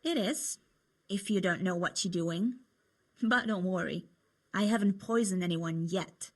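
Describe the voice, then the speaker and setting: A woman's voice: on the low side, unhurried. A young woman playing a cheerful, teasing medieval tavern girl in a video game, chatty and coaxing. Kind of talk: video game cutscene acting.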